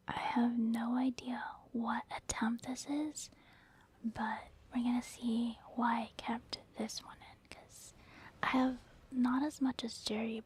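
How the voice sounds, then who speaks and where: A woman doing soft, whispered ASMR commentary over gameplay, chatting informally to her viewers. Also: a little high. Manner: informal, conversational, addressing viewers. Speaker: ASMR gaming creator.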